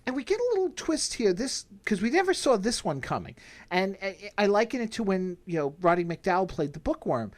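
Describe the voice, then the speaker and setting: A man's voice: high-pitched, sing-song, a little fast. An American man co-hosting a podcast, casually chatting through a recap of an old TV episode. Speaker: podcast co-host.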